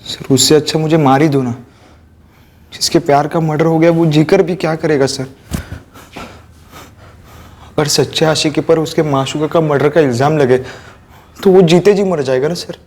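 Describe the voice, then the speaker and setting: A man's voice: fairly high pitch, steady tone, normal speed, long pauses, a little mellow. An actor playing an obsessive, lovesick stalker in a TV crime drama, brooding and fixated in his delivery. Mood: anguished, fatalistic. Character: obsessive lovesick male stalker, fatalistic and pleading. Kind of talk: Hindi TV crime drama acting.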